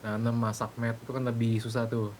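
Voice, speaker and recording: A man's voice: mid-range voice, little pitch movement, a little fast, a loud crowd. A man chatting casually with his group in an online roleplay game. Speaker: roleplay gang member.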